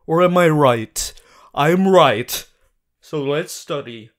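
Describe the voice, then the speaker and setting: A man playing an earnest, bossy, worried young student hero in an audio roleplay, talking to the listener in an insistent, halting, emphatic way. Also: on the high side, a bit bright. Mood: pleading, insistent. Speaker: voice actor.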